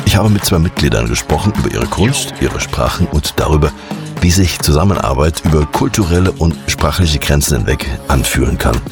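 Deep, lively radio host man. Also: brisk, creaky, music in the background. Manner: lively, inviting narration. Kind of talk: scripted radio narration.

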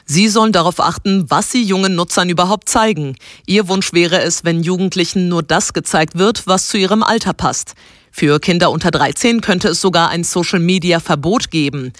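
A woman delivering a scripted radio news report in a brisk, clear way. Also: on the low side, dry recording, studio quality.

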